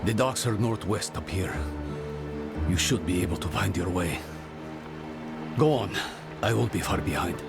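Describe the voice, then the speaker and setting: An actor playing a watchful, inquisitive guide in a video game, talking in a probing, conversational way. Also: male voice, sits low, normal speed, creaky, over loud music. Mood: curious, watchful. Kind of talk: video game dialogue acting.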